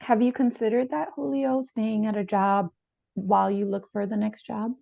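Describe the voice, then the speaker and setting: Woman doing a calm therapist asking careful questions, soft mellow tone w lots of fry, dull lofi audio. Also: medium pitch, dry recording. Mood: calm, inquiring. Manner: calm, measured, tentative questioning. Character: calm, probing female therapist. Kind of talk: dramatized therapy session, measured questioning. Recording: dull lo-fi sound.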